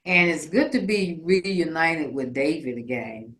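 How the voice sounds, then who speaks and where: An older woman with a low voice talks casually and effusively in a group conversation. She repeats her affirmations, and the room adds a clear echo around her. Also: unhurried, a bit bright. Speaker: participant in a community storytelling theatre group. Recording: roomy echo.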